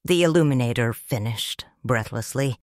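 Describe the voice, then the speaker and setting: A woman narrating a first-person audiobook story in a measured, solemn and expressive way. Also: rumbling low, dry recording, studio quality.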